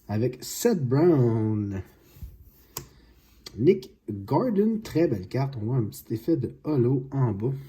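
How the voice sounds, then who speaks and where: Homme calme, voix sombre et voilée. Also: male voice, mid-range voice, breathy edge. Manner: unhurried, excitable over big pulls. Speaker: card collecting channel host. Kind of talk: casual card-opening vlog. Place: home, at a card-opening mat.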